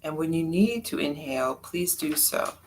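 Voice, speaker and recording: A yoga nidra teacher leading a guided meditation in a calm, unhurried, soft-spoken way with long pauses. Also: medium pitch, slight background noise, poor audio.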